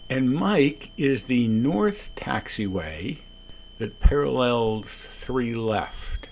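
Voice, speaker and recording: A man who is a pilot, giving calm explanatory commentary on his own flight from the cockpit of a small plane. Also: medium pitch, very slow, creaky. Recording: noisy small-plane cockpit, radio transmissions.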